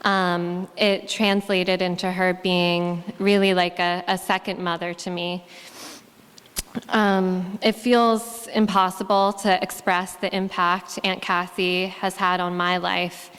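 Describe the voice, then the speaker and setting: Slow, halting woman in a reverberant hall. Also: on the low side, little pitch movement, fairly bright tone, smooth. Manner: halting, with frequent ums and pauses. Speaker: niece giving a eulogy. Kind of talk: memorial eulogy and poem reading. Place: church sanctuary at a memorial service. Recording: long reverb of a large hall.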